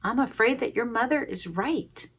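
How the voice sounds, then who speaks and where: A woman's voice: fairly high pitch, animated, normal speed, a bit bright, slight background noise. A woman voicing a thoughtful, resourceful old grandfather tailor in a children's storybook. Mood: sad. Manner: musing.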